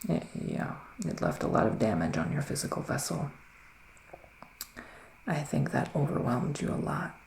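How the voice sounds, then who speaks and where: Hushed, creaky man doing ASMR. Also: medium pitch, very animated. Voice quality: breathy, often slipping into whisper. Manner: slow, hushed, soothing and reassuring. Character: gentle psychic male witch giving a reading. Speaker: ASMR performer playing a psychic reader. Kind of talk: ASMR roleplay, improvised tarot reading. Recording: roomy echo, faint background noise.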